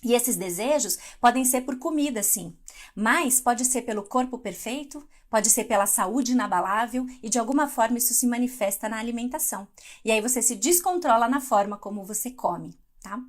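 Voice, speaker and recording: A middle-aged woman from Brazil leads a live devotional in a chatty, conversational way, pausing to answer viewers. Her voice sits a little high for a woman. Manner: conversational, reads live comments aloud. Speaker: devotional speaker.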